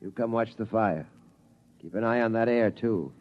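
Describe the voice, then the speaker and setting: Calm father man, patient and low, old radio play, lo-fi sound. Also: normal speed, close to an everyday voice. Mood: reassuring. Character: calm, steady father of a family. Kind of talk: 1950s radio drama acting. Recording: muffled 1950s radio broadcast.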